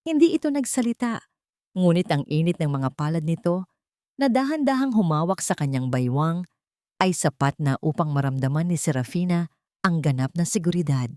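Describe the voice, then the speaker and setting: A woman narrating a scripted love story in a dramatic, measured and solemn storytelling style. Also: low-pitched, expressive, mellow, no room sound, clean studio sound.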